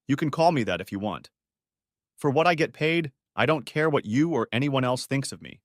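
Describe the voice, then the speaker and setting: A man's voice: medium pitch, expressive, moderate pace, dry recording, studio quality, lightly acted. A man voicing a young woman assistant who talks frankly about her job. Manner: frank, matter-of-fact.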